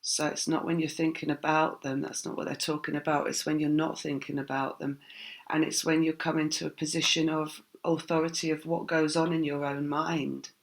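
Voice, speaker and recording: A woman giving a casual spiritual tarot reading, her voice croaky, talking in a rambling, reflective way with many fillers. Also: deep voice, flat delivery, normal speed. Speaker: tarot reader.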